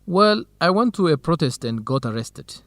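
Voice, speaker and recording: An actor playing an earnest, modest young Ethiopian research partner and interpreter in an educational radio drama. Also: male voice, on the high side, very animated, normal speed, a bit bright, no room sound. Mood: friendly, earnest.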